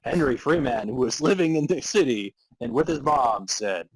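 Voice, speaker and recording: A man's voice: mid-range voice, expressive, normal speed, husky, dry recording, rough recording. A man co-hosting a comedy podcast, alternating mock-dramatic story narration with casual chat. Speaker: podcast co-host.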